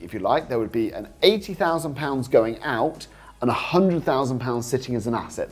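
British man, lively swooping delivery, slightly husky. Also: a little high. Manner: persuasive, explanatory, rhetorical check-in questions. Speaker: host, property investing coach. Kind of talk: informal instructional talk to viewers.

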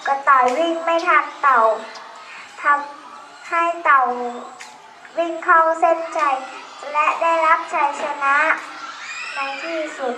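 A young girl with a very high voice recites a children's fable in an echoey room. She goes slowly and deliberately, as if from memory, addressing listeners with some noise around her. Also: fairly even intonation, sharp tone. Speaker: storyteller. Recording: echoey room, distant ambient sound.

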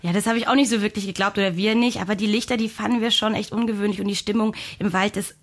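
A woman's voice: medium pitch, steady tone, quick pace. A woman reporting conversationally in a studio, as a radio reporter.

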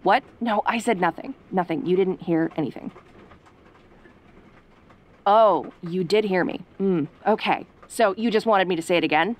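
A woman playing a sassy, easily flustered young tomboy girlfriend, sarcastic and haltingly defensive, in an audio roleplay. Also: on the low side, natural voice. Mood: flustered, affectionate.

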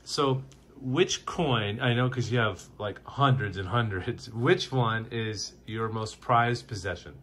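A man's voice: mid-range voice, slow, fairly bright tone, a little hiss or hum. A man interviewing for a church, asking friendly, upbeat questions. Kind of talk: casual interview. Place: home, indoors.

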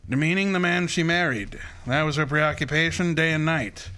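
A man acts out a commanding private detective from an old-time radio play. His voice is fairly high and strikingly forceful. He speaks in precise, formal sentences with a pompous, assured air. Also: normal speed, smooth, rough recording. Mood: grave, measured. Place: live stage before an audience.

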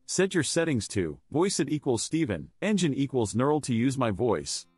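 A man's voice: mid-range voice, very animated, moderate pace, darkish tone, husky, no room sound, studio quality, faint music behind. An American man reading a scripted demonstration narration.

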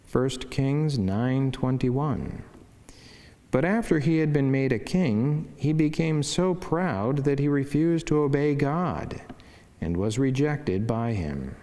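A man, a priest, reading a scripted devotional in a measured, formal and reverent way. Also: mid-range voice, mellow. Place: wood-panelled church sanctuary, indoors. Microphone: lectern microphone. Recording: long church reverb.

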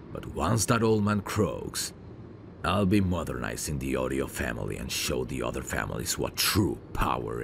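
Man playing very deep, gravelly suave mafia heir. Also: background noise, full character voice. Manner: smooth-talking, courtly, flirtatious. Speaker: voice actor. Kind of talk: ASMR roleplay, intimate one-sided dialogue.

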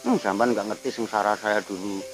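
A man's voice: lowish voice, fairly quick, over music. An older man with a Ngapak Javanese accent, a veteran actor chatting as a guest in a rambling, musing way with frequent chuckles. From Banyumas region, Central Java. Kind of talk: casual reflective chat. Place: outdoor garden table at night.

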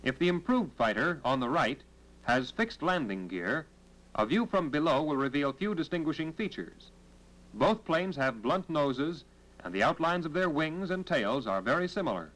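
An American man narrates in the style of a 1940s military training film. His voice sits a little high and moves widely up and down as he reads the script. The delivery is formal, measured and instructional, on a vintage film soundtrack. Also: big pitch swings, fairly bright tone, rough recording.

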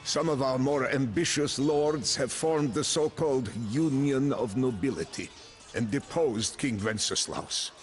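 An actor playing a grim, proud medieval Bohemian mercenary commander, narrating his story solemnly and deliberately for a video game. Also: mid-range voice, close to an everyday voice. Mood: grave. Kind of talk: dramatic scripted first-person narration. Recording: clean voice-over with music behind.

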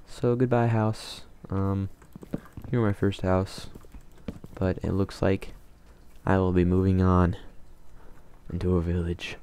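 A man calmly talking to himself while playing a game, in a soothing, mumbling way. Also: deep voice. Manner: calm, mumbling, self-talking. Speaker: gamer. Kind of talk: casual let's play commentary.

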